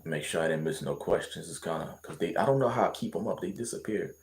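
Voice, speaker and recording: A man's voice: deep voice, expressive, quick pace, roomy sound, slight background noise. A man from Louisiana with a light Louisiana accent, chatting casually on a livestream and answering viewer questions in a rambling, laid-back way full of fillers.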